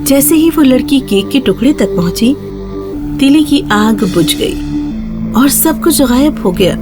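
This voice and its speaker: A woman's voice: sits high, animated, over music. A woman narrator reading a scripted children's fairy tale in a storytelling style.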